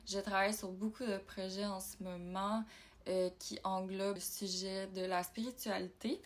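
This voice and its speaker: A woman from Ontario, talking in a casual personal vlog, reflective and halting as she thinks aloud. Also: mid-range voice, little pitch movement. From Ontario, Canada. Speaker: YouTuber.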